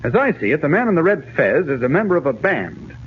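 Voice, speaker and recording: An actor playing an earnest, insistent reporter investigating a mystery, urgent and persuasive, in a 1940s radio serial. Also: male voice, fairly high pitch, very animated, fast. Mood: urgent, deducing. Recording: scratchy, narrow-band 1940s radio recording.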